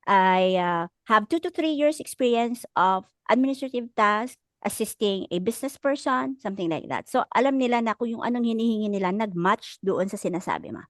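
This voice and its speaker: A woman's voice: mid-range voice, expressive, fairly quick, hardly any pauses, no room sound. A woman with a Filipino accent teaching an online class, encouraging students as she talks and switching between English and Tagalog. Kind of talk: casual lecture coaching students.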